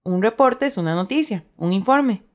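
A woman's voice: mid-range voice, lively intonation, normal speed. A woman co-hosting a language lesson, presenting words and example sentences.

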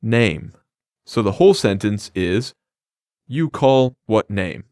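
A man's voice: on the low side, lively intonation, takes it slowly, a little mellow, no echo. A man co-hosting a scripted language lesson, explaining phrases in an upbeat, plain way. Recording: dry studio recording.

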